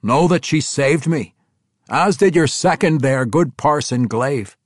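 Dude putting on a plainspoken dwarf guy, flat matter-of-fact delivery, raised voice. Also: fairly high pitch, unhurried, no room sound, clean studio sound, pitched above the performer's own voice. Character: stubborn young dwarf king, blunt and plain-spoken.

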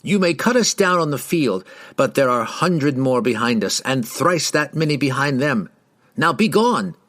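A man's voice: medium pitch, animated, unhurried, voice pushed up, natural voice. A man voicing a haughty, hostile patrol rider, with pompous, sneering and archaic speech in a fantasy audiobook. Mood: commanding.

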